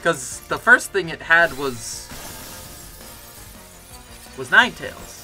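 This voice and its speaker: A man streaming a game with a bass voice that still sounds bright and forceful, talking casually at a home desk mic. He gets excited and begs the game to go his way. Soft game music plays underneath. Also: slow. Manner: chatty, easily excitable, pleads with the game. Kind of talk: casual gameplay commentary. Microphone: desk mic with webcam.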